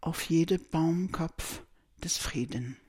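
A person musing aloud and reciting poetry in a halting, hesitant way, with music behind. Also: mid-range voice, a little mellow, husky, no echo, clean studio sound.

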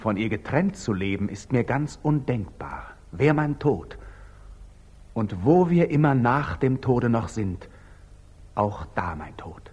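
An actor playing a passionate young Knight Templar in intense, introspective declamation, in the style of 1940s radio drama. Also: male voice, medium pitch, very animated, slow pace, darkish tone, husky, full character voice. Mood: fervent. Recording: aged 1940s radio recording.

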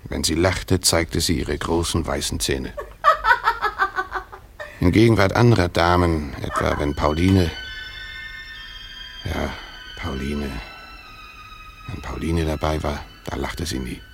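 An actor playing a brooding, lovesick former tutor, narrating a radio drama in a reflective, halting, self-questioning way. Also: male voice, very deep voice, vocal fry, music in the background, heavily acted.

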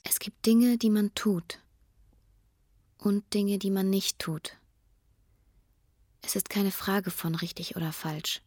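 An actress playing a reflective woman who narrates her own youth in a measured way for a radio drama. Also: medium pitch, darkish tone, slightly rough, soft background music. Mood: solemn. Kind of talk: scripted first-person radio drama narration.

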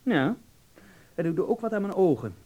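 Radio drama: vain young dandy; highish, very lively delivery, arch, worldly, mockingly patronizing. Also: male voice, moderate pace. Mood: vain, playful. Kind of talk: radio play acting.

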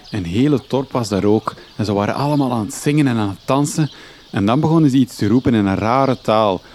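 A man's voice: lowish voice, darkish tone, slight background noise, lightly acted. A man playing an earnest, bewildered young journalist with a Flemish accent, thinking aloud in a radio comedy drama. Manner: naive, puzzled, thinking aloud. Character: earnest, bewildered young journalist back in his home village.

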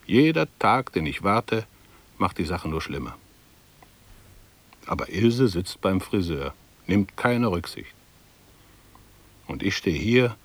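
An actor playing a fussy, fretful middle-aged male bank clerk thinking aloud in a radio drama, precise and ruminative. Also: on the low side. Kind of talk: 1960s German radio drama monologue.

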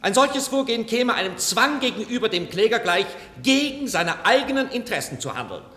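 An actor playing a pompous, indignant company lawyer in a German radio drama, speaking formally and forcefully. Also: male voice, high-pitched, very animated, fairly quick, exaggerated voice. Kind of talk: 1960s-1990s German radio drama acting. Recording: echoey courtroom hall, old radio play.

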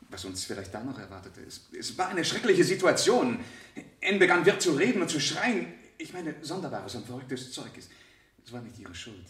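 An actor playing a defensive middle-aged man on trial, answering haltingly and guardedly in a German radio play. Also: medium pitch, a bit bright. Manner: halting, guarded, plain-spoken witness. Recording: echoey studio courtroom, older radio production.

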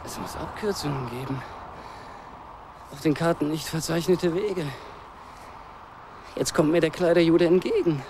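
An actor playing an exhausted, lost wanderer in a radio drama, brooding and halting as he talks to himself. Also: fairly high pitch, background noise. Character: exhausted lost male wanderer trudging through bad weather. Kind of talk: radio drama interior monologue.

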